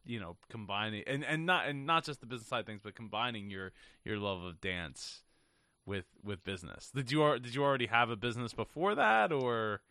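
A man asking a guest questions in a relaxed, halting way, often repeating words mid-sentence. His voice sits at an ordinary pitch, and the sound is dry and close. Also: no echo. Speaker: podcast interviewer. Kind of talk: casual interview questions.

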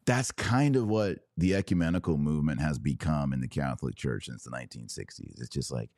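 A man with a slightly raspy voice, worn from hours of talking, hosting a casual religious commentary podcast in short, emphatic phrases. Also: rumbling low, brisk, a little mellow, dry recording, studio quality. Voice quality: a little raspy. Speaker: podcast host.

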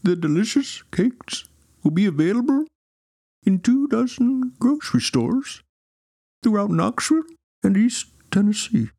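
A woman voicing a male marketing director making a product announcement in a promotional pitch. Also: sing-song, measured pace, dark tone, slightly rough, no echo, studio quality, full character voice.

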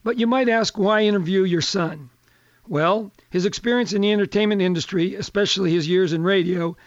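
An older man hosting a radio talk show in a chatty, folksy manner, his voice a little hoarse. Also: sits high, no echo, dull audio. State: slightly hoarse.

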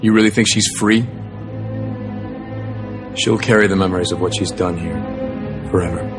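Somber, brooding male warrior played by an actor, deep voice, game drama over music. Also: fairly even intonation, normal speed, natural voice. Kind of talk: video game voice acting.

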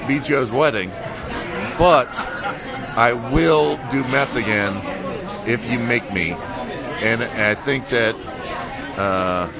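A man's voice: medium pitch, slow, pauses often. A man chatting as a podcast guest in a busy restaurant and bar, ranting in mock anger and swearing. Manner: mock-angry, profane ranting. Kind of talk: casual chat. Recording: loud bar music and crowd noise.

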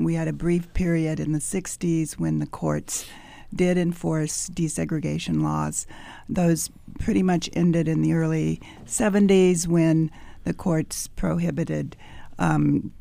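A woman speaking as a radio panel guest, explaining in a measured way and clearing her throat. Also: low-pitched, warm tone, no room sound. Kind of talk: casual discussion.

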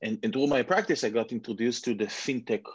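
Israeli man in his forties, husky and a touch low, dry close sound, rambling self-mocking storyteller. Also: a little fast, dry recording. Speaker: guest entrepreneur. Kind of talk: casual interview chat. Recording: dull muffled lo-fi sound.

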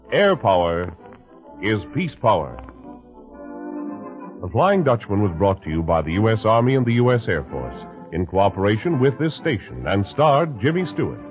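An American male announcer with a fairly low, animated voice and formal, emphatic delivery. The dull 1940s radio recording has faint music.

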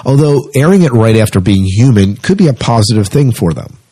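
Husky low-voiced man hosting a podcast. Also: animated, brisk, mellow. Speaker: podcast host. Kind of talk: casual sci-fi news chat.

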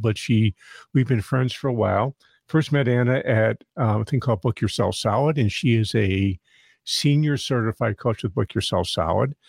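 A man's voice: mid-range voice, gravelly, darkish tone, breathy edge, dry recording, studio quality. A man hosting a podcast interview, talking in a friendly, rambling way and often restarting his sentences. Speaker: podcast host.

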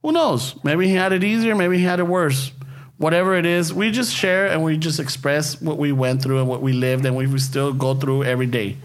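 A man's voice: fairly high pitch, brisk. A man co-hosting a casual podcast, slangy and sweary, mixing in Spanish. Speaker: podcast co-host. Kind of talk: casual chat.

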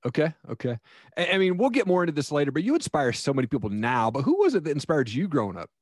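A man's voice: a little high, big pitch swings, fast talker, no echo, clean studio sound. An American man hosting a casual interview in an informal, encouraging, buddy-like way. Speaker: podcast host, interviewer.

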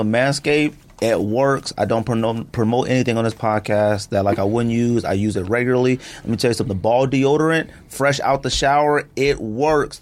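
A man with a mid-pitched voice hosts a casual podcast in a studio. He talks in slangy, jokey bursts, animated and repeating himself for emphasis. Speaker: podcast host. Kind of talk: casual podcast banter and joking ad read.

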